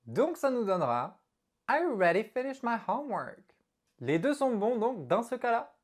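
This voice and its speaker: A woman teaching an online English lesson in an animated, expressive way as she explains to viewers. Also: fairly high pitch, moderate pace.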